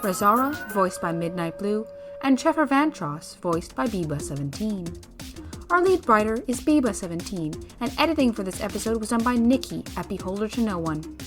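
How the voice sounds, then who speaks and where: Animated woman announcer over music. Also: mid-range voice, moderate pace. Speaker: announcer reading credits. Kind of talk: scripted closing narration.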